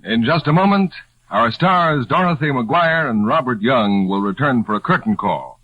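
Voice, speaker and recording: A man's voice: medium pitch, animated, normal speed, faint music behind. A man as a 1940s radio announcer, reading a scripted appeal in an earnest, urging manner. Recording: dull, lo-fi 1940s radio broadcast.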